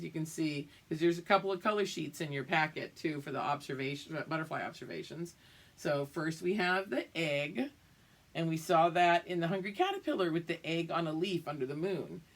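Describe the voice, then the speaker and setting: Older woman teacher with a low voice. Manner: simple explanations addressed to small children, with check-ins. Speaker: kindergarten or preschool teacher. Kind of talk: read-aloud lesson for young children.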